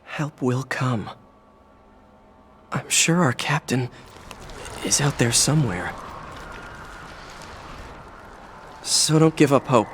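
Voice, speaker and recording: A man's voice: mid-range voice, animated, takes it slowly, fairly bright tone, a little hiss or hum. A man playing a protective special-police teammate in a video game, dramatic and urgent in his delivery. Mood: reassuring. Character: protective, reassuring male special-police teammate under strain. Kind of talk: video game cutscene acting.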